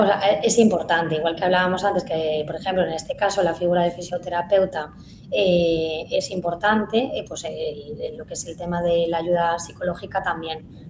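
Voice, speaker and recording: Speech therapist, woman, lowish voice, hesitant, roomy echo. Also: steady tone, normal speed. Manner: hesitant, many fillers. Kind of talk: live conference Q&A. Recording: echoey room, faint noise.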